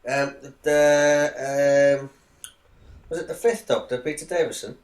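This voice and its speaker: A man's voice: on the high side, fairly even intonation, slow. A man chiming in during a casual podcast conversation. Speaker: podcast panellist. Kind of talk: casual chat, interjecting.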